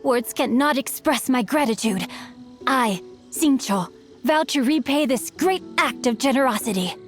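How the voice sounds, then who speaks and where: A woman's voice: sits high, lively intonation, measured pace, faint music behind. An actress playing an earnest, courteous teenage boy swordsman with formal, florid, theatrical speech in an anime game.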